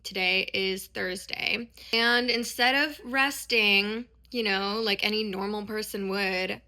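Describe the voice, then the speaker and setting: A woman in her thirties speaks in a bright, crisp-edged voice at a medium pitch. She sounds congested from a sore throat. Her delivery is casual and candid, with a self-deprecating, confiding vlog style. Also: steady tone, slow pace. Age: 30s. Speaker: dance and performance vlogger. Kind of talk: casual, chatty personal vlog.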